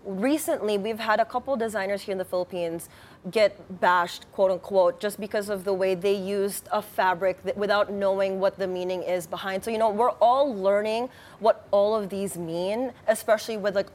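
A woman's voice: medium pitch, steady tone, moderate pace, darkish tone. Casual woman interviewer asking questions.

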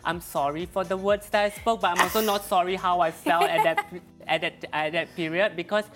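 A man's voice: high voice, steady tone, fairly quick, over music. A young man from Singapore, a guest chatting casually and laughing as he talks, with many restarts and fillers. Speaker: guest, social media creator. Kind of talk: casual chat.

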